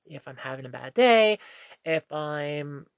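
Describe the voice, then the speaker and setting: A woman's voice: sits low, animated, slow pace, clean tone, no echo. A woman with a stuffy nose talking to camera in a candid, meandering vlog monologue, correcting herself as she goes, recorded in a room at home. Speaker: vlogger. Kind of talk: casual rambling monologue.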